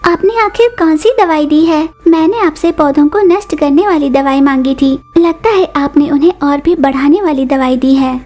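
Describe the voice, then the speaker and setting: Woman voicing high, scolding little cartoon bird. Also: normal speed, hardly any pauses, darkish tone, faint music behind, lightly acted. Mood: indignant, impatient. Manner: scolding, insistent. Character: small female bird, indignant, demanding, quick to complain.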